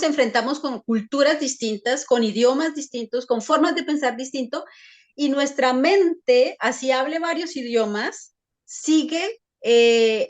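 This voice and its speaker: A psychologist being interviewed, explaining her points thoughtfully with frequent hesitations and restarts. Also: fairly high pitch. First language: Spanish. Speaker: psychologist guest. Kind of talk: conversational interview answers.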